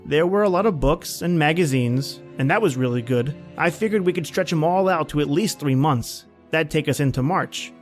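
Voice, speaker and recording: A man's voice: a little high, normal speed, few pauses, soft background music, natural voice. An actor playing a plain-spoken man at a snowbound outpost, telling his story in a folksy, conversational old-time radio style. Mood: reflective.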